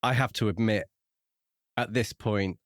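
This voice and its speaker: A man co-hosting a book discussion podcast, speaking in measured, deliberate phrases. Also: on the low side, steady tone, dry recording, clean studio sound. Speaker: podcast co-host.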